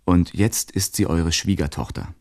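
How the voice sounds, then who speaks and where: Radio play, male actor as young emigrant son, deep, dark-toned voice, practical, matter-of-fact storyteller. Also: moderate pace, lightly acted. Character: resourceful emigrant son telling his story in a letter. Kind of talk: radio drama letter monologue.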